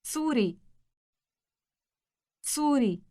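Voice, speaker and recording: A woman from Syria with a Syrian Arabic accent speaking model phrases for a language tape in a crisp delivery. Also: sits high, lively intonation, measured pace, mellow.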